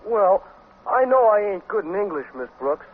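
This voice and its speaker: A slow, bashful teenage boy athlete in a 1950s radio sitcom. He plods through clumsy grammar in a high-pitched voice. The recording is narrow and lightly noisy. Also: very animated. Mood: earnest, bashful. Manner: dim, plodding, ungrammatical.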